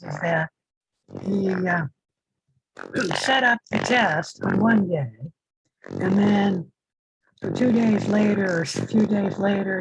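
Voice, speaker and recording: A hoarse man teaching a lab class over a video call, halting with many fillers, his faulty microphone distorting his voice. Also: sits high, noisy background. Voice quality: distorted mic that sounds like Darth Vader. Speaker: lab instructor. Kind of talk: online class lecture. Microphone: faulty computer mic on a video call.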